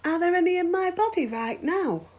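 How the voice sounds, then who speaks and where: Woman voicing a very high-pitched curious little schoolgirl. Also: animated, moderate pace, darkish tone. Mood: puzzled, curious. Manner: questioning, puzzled. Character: curious young schoolgirl questioning her father. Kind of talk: read-aloud children's storybook dialogue.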